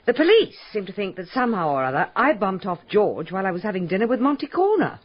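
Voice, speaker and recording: Flighty society lady, swooping pitch. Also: very animated, fast, husky. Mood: breezy, dismissive. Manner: airy, capricious, theatrical. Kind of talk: radio drama acting. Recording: slightly muffled radio drama sound.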